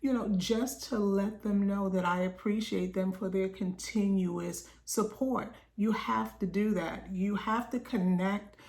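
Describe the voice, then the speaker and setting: A woman with a slightly raspy voice, coaching small business owners on a video call in a conversational way, often tagging "right?" onto her points. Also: mid-range voice, fairly even intonation, measured pace, hardly any pauses, a little mellow. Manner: reads quoted passages aloud, then explains.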